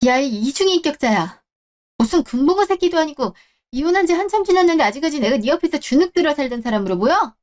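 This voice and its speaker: A woman's voice: high-pitched, lively intonation, quick pace, a little mellow, voice pushed up. A woman playing a proud ex-wife and company executive in a radio drama, scolding with cutting, defiant scorn. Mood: exasperated, defiant. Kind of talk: Korean radio-drama story acting.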